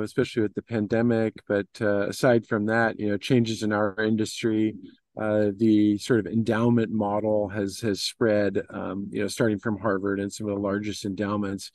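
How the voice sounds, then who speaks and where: A man's voice: fairly low pitch, darkish tone, no echo. Middle-aged man, dry close sound, meandering answers. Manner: hesitant, meandering phrasing with many you-knows. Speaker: interview guest, investment firm executive. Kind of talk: informal business interview answers.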